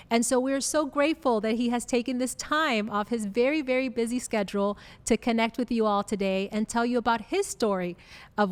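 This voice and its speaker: Upbeat woman host with a smooth, clear voice and a long reverb trail, effusive in her questions. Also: on the high side. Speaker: podcast host. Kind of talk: enthusiastic interview hosting.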